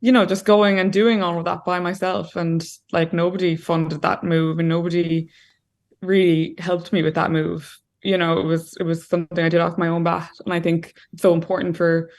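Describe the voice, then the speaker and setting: A woman's voice: lowish voice, level tone, moderate pace, darkish tone. A young Irish woman talking as an interview guest over a video call from a room at home, chatty and full of fillers. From Ireland. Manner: chatty, lots of "like" and "you know". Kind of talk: casual interview talk.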